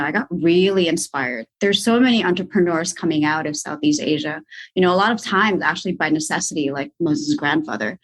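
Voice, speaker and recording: A woman from Indonesia, a company co-founder answering interview questions in a calm, matter-of-fact way. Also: fairly low pitch, poor audio.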